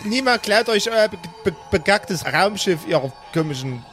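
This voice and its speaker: Man as grumpy stubborn woman, high voice, belligerent rants, insults, improvised audio drama. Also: animated, normal speed, fairly bright tone, faint music behind.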